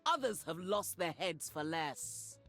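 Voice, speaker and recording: A woman's voice: fairly low pitch, lively intonation, a little slow, fairly bright tone, soft background music. An actress playing a proud, ruthless middle-aged warlord mother in a video game, commanding and haughty. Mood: stern contempt.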